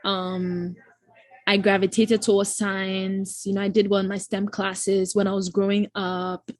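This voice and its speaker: A young woman in her twenties speaks with a Ghanaian accent at a middle pitch. She sounds relaxed and reflective, leaning on ums and you-knows as she thinks aloud. The sound is dry and close, with no room around it. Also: unhurried, no echo. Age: 20s. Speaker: guest, incoming medical student. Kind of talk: casual interview chat.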